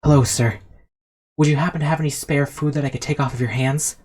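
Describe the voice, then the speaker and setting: Man doing an excitable, earnest everyman hero. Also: on the high side, fast talker, pitched above the performer's own voice, close to an everyday voice. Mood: weak, polite. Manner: exclamatory, earnest. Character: excitable, earnest male snack-factory worker, easily panicked.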